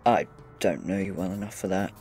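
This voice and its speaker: A man playing a mysterious, polite hooded customer in an audio drama, measured and deadpan. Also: low-pitched. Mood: calm, cryptic. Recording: music bed behind the voice.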